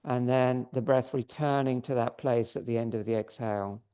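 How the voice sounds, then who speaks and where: A man teaching a yoga class, giving calm step-by-step posture and breathing cues while he is losing his voice. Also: medium pitch, moderate pace, clean tone. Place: yoga studio.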